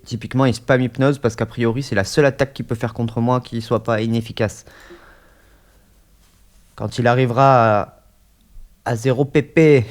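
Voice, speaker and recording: A man's voice: medium pitch, darkish tone. Stuffy-nosed man with a cold. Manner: chatty, hesitant, many fillers. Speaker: gaming YouTuber. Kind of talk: casual let's-play commentary. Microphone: desk mic.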